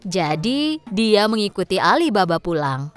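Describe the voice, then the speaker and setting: A woman's voice: medium pitch, big pitch swings, measured pace. A woman narrating a scripted storybook tale for children. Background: background music.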